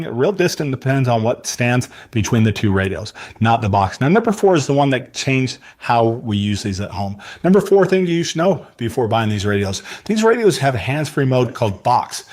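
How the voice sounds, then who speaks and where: A man's voice: medium pitch, darkish tone. A man delivering a scripted product review countdown in a salesy manner, speaking directly to the viewer.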